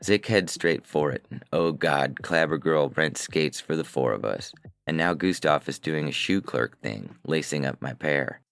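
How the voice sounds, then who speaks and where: A man's voice: rumbling low, unhurried, gravelly, fairly bright tone, clear, resonant tone, no echo. A man narrating a scripted first-person science fiction story as its reader. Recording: music bed under the voice. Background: music.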